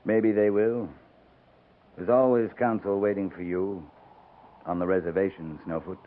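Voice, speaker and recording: An actor playing a calm, authoritative cavalry captain in a 1950s radio western, with a measured, dry, commanding manner. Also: male voice, deep voice. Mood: quiet, grave. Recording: muffled, noisy 1950s radio recording.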